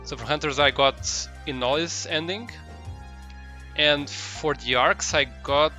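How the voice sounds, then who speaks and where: A man's voice: a little high, measured pace, pushed and bright, lo-fi sound, soft background music. A man doing casual live commentary as a game streamer, rambling and hesitant as he searches for his words.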